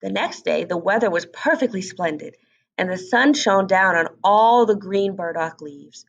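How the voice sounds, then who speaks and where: A woman's voice: medium pitch, animated, normal speed, creaky, muffled recording. A woman storyteller expressively narrating a scripted children's story.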